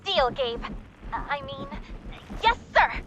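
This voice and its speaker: High-pitched bubbly young sidekick. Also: female voice, takes it slowly, dull audio, slight background noise, exaggerated voice. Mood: excited. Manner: playful, excitable. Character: bubbly, impatient, teasing young female sidekick. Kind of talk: video game voice acting.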